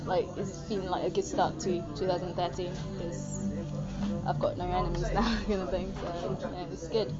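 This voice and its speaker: Hesitant young woman over loud music. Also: lowish voice, little pitch movement, moderate pace. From Singapore. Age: 20s. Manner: hesitant, lots of ums and likes. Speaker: interviewee. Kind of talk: casual interview. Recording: dull lo-fi sound with loud music.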